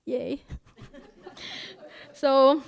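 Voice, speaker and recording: Slow-talking Indonesian-accented woman comic. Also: high-pitched, little pitch movement, creaky, dull audio. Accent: Indonesian with Australian traces. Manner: self-mocking, laughs at her own jokes. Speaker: stand-up comedian. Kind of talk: live stand-up storytelling.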